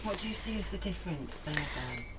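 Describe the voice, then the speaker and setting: A woman hosting a radio show, chatty and warm as she introduces the guests. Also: on the low side, expressive, low-quality recording. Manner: chatty, warm, listing names briskly. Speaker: radio host and festival director. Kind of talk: radio interview.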